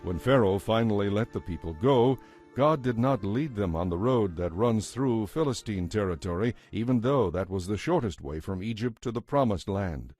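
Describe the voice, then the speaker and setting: Casting: male narrator for scripture, lower register, measured and solemn, close dry studio sound. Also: lively intonation, a little mellow, no room sound, soft background music. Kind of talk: scripted narration, dramatized Bible reading.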